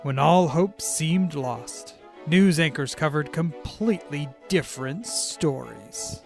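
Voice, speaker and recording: A man's voice: on the high side, lively intonation, slow pace, creaky, over music, exaggerated voice. A man playing a portentous trailer-style announcer narrating a dramatic recap in a radio drama. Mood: ominous.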